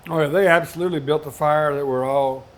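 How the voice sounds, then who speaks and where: Kentucky man on a panel, wry self-deprecating humor, slightly high pitch. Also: fairly even intonation, fast talker. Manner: self-mocking, joking. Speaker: distiller on a panel. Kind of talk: casual storytelling on a panel.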